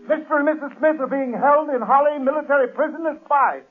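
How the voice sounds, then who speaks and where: A rushed newspaper correspondent from a vintage radio play, brisk and urgent, very high-pitched and quick, over faint music. The sound is thin and tinny, like an old broadcast. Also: male voice. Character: hurried male newspaper correspondent on the phone. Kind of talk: 1940s radio acting.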